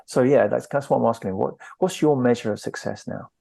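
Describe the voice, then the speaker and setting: A man's voice: mid-range voice, fairly quick, creaky, warm tone, no room sound. A man hosting a podcast, asking his guest long, searching questions that wander a little. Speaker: podcast host. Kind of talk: interviewing a guest.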